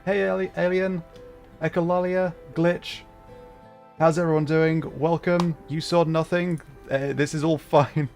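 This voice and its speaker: A man's voice: fairly high pitch, level tone, unhurried, vocal fry, darkish tone, soft background music. A man hosting a casual gaming livestream, chatting with a wry, self-deprecating manner. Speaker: livestreamer host.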